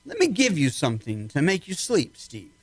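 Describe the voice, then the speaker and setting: An amateur actor playing a skeptical, firm military base doctor in a radio play, measured and coaxing. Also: male voice, on the high side, huge pitch swings, husky, dry recording, full character voice. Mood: calm, coaxing.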